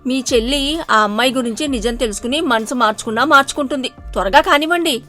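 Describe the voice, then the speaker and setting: A woman's voice: high-pitched, quick pace, sharp tone, soft background music. A woman playing a conniving, eager middle-aged village wife in a dramatised moral story. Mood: eager, conspiratorial.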